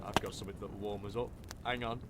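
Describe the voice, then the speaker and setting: A man's voice: on the low side, steady tone, quick pace, close to an everyday voice. A young man with a British accent playing an easygoing teenage soldier in a First World War audio drama. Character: easygoing underage British soldier, streetwise lad. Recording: noisy wartime sound effects behind.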